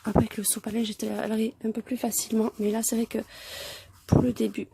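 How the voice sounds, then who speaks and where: A woman with a cold talks through a craft tutorial at her work table, her voice hoarse and gravelly with frequent fry. She speaks softly, often slipping into a near whisper, pausing and restarting as she works. A faint hiss sits under the recording. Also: on the high side, level tone. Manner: soft-spoken, often near a whisper, hesitant. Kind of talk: calm step-by-step craft tutorial.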